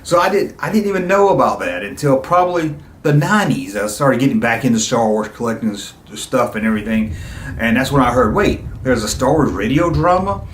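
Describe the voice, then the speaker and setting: A man's voice: medium pitch, expressive, fairly quick, echoey room, slight background noise. A middle-aged man talking casually and nostalgically to his viewers, with an enthusiastic, rambling, conversational manner. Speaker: YouTube video host.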